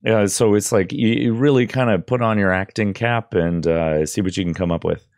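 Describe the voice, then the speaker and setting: Deep voiced guy doing a chill screen tutorial, kinda hesitant explaining, close dry mic. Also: normal speed, a little mellow, dry recording. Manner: informal, hesitant, loosely explaining. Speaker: audio tutorial presenter. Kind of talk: casual screen-recorded tutorial. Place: indoors at a computer desk. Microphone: close boom-arm microphone with headphones.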